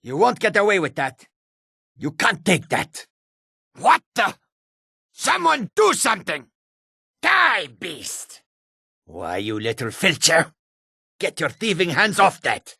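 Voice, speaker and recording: A man voicing a wary, combative male cat-folk character from a fantasy video game, with a gravelly, raspy voice and exotic, formal phrasing. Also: fairly high pitch, wildly swooping pitch, slow pace, pauses often, pushed and bright, no room sound, clean studio sound, exaggerated voice. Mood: outraged, then panicked.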